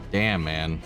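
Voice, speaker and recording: A man giving laid-back, slangy reaction commentary in short, sparse remarks. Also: low voice. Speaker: reaction video host.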